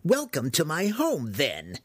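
A crazed, cranky old wizard in a fantasy audiobook. The voice leaps high above a normal speaking register and swings wildly, with a rough, husky edge. He shrieks and mutters in paranoid indignation. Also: wildly swooping pitch, takes it slowly, no echo, heavily acted. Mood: manic triumph. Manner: shrieking and muttering, broken quaint grammar.

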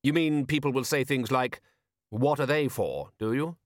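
A man playing a measured, sardonic aristocratic ruler. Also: mid-range voice, dry recording, clean studio sound, pitched above the performer's own voice, natural voice. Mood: dry irony. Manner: measured, deliberate, drily sardonic. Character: urbane, dryly ironic male aristocratic ruler.

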